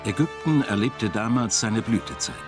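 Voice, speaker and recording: Male documentary narrator, fairly low voice, very varied intonation, measured dramatic storytelling over music. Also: animated.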